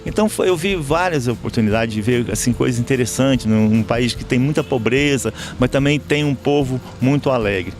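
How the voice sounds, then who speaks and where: A man's voice: medium pitch, moderate pace, few pauses, soft background music. A Brazilian man with a Brazilian Portuguese accent, an interview guest speaking reflectively, with restarts and fillers. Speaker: election observer guest. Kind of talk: conversational interview.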